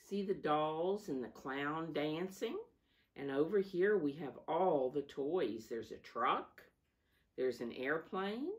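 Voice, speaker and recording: A woman reading a storybook aloud to young children as a teacher, deliberate and instructive, stressing key words and adding simple asides. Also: deep voice. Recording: voice distant from the microphone.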